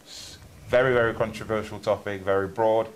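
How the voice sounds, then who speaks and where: A man's voice: on the low side, fairly even intonation, fairly quick, slight background noise, low-quality recording. A young man from Germany interviewing churchgoers in a loose, rambling way, restarting his questions often.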